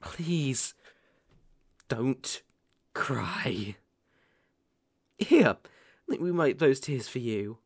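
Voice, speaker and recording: A man playing a possessive, lovesick boyfriend in an ASMR roleplay, cooing with gentle condescension and quiet menace, often hushed and breathy. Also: medium pitch, lively intonation, extremely slow, pauses often, no echo, clean studio sound, full character voice. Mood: soothing, controlling. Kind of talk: ASMR roleplay, dramatic monologue.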